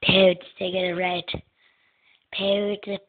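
A soft-spoken young woman hosting a casual gaming video, answering a guessing game in short words. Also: on the low side, level tone, takes it slowly. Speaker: gaming channel host. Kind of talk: casual gameplay commentary. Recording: tinny lo-fi phone-like recording.

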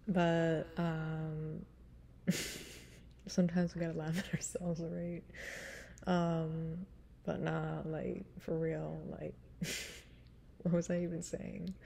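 A woman's voice: mellow, slight background noise. A woman in her thirties with a smoky voice and a stuffy nose, chatting frankly and rambling with laughs into a webcam in a small room. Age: 30s. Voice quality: smoky, husky low voice. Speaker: singer vlogger. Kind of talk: casual chat before a cover song.

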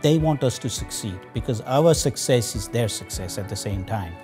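A man's voice: mid-range voice, animated, moderate pace, darkish tone. A man speaking as a manager in an unscripted business testimonial. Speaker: hotel manager giving a testimonial. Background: music.

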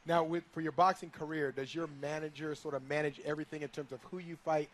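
A man co-hosting a sports talk show on a headset mic, chatty and quick to laugh. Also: fairly high pitch, steady tone. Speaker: co-host.

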